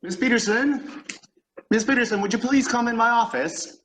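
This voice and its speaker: An actor plays a put-upon male office boss in old-time radio style. His high-pitched voice is fussy, sarcastic and dry. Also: moderate pace, fairly bright tone, a little hiss or hum. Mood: exasperated.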